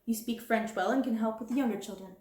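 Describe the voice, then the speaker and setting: A woman's voice: on the high side, quick pace, darkish tone, reverberant, close to an everyday voice. A woman voicing a harsh, domineering middle-aged schoolmistress in a storybook reading, cold and scornful. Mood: commanding.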